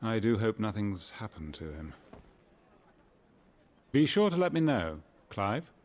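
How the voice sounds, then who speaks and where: An actor playing a suave, genteel merchant in a video game, measured and politely condescending. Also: male voice, lowish voice, sing-song, a little mellow. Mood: smooth, courteous. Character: suave, genteel male merchant with guarded courtesy. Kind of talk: dramatic video game acting. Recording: faint guitar music behind.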